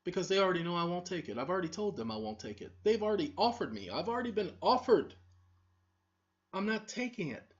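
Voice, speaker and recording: A woman's voice: fairly low pitch, big pitch swings, quick pace. Scolding woman preaching at viewers, lo-fi. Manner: preachy, scolding, repetitive, talks straight to the audience. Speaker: online video host. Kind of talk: confrontational monologue to viewers. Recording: dull lo-fi recording.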